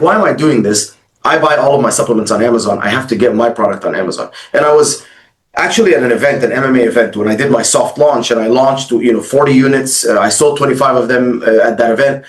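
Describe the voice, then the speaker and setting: Talkative man in an echoey room. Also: mid-range voice. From Egypt and Dubai. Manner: fast, fluent storytelling with many fillers. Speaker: guest, agency founder. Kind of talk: casual interview storytelling.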